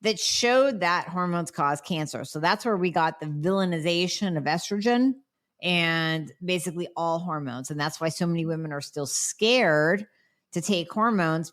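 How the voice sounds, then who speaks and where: A woman's voice: low-pitched, a bit bright. Blunt, nasal-sounding woman podcast host. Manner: blunt, emphatic, sarcastic asides. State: nasal from a cold. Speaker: podcast host, doctor answering listener questions. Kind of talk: solo podcast Q&A.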